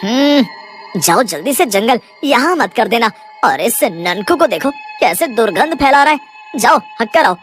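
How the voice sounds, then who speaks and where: Woman doing a whiny worried village dude for a cartoon, kinda high pitched, music behind. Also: lively intonation, a little slow. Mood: irritated. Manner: plaintive, grumbling. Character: worried, grumbling young village man.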